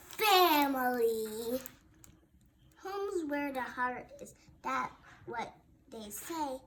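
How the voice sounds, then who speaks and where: A woman with a very high, youthful-pitched voice reads a rhyming children's story aloud at a slow pace. She is halting and often repeats words as she goes. Clear background noise sits behind her. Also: a bit bright. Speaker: storybook reader.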